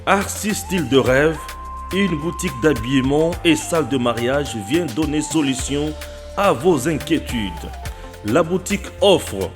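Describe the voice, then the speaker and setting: A man's voice: a little high, animated, unhurried. A man reading a promotional shop advertisement in a formal, selling tone. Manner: formal, promotional address to listeners. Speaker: radio ad announcer. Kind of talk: scripted advertisement read. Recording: voice-over with loud backing music.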